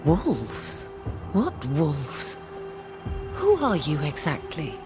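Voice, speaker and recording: A woman's voice: sits low, huge pitch swings, extremely slow, music in the background, exaggerated voice. An actress playing the wistful, lovelorn ghost of a woman in a dramatic video game. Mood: puzzled, polite.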